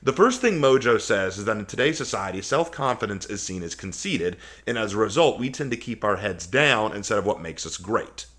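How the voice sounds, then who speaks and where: A man's voice: lowish voice, expressive, a little fast, hardly any pauses, fairly bright tone. A man giving casual, opinionated video commentary, arguing emphatically with sarcasm and incredulous rhetorical questions. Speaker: video commentator hosting a response video.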